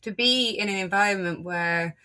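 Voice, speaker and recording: A woman's voice: medium pitch, normal speed, pushed and bright. A woman with a Yorkshire accent hosting a podcast interview, chatty and reflective, often restarting her sentences. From Yorkshire, England. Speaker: podcast host.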